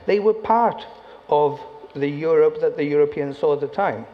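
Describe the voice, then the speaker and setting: A man on a conference panel giving a deliberate, matter-of-fact answer. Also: fairly high pitch. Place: conference hall. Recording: muffled audio in a reverberant hall, faint noise.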